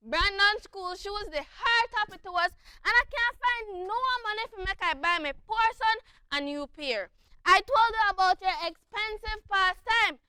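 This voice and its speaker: Very high-voiced battered Belizean wife acted by a woman. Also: pushed and bright, exaggerated voice. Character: weary, pleading battered wife and mother. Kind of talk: amateur dramatization acting.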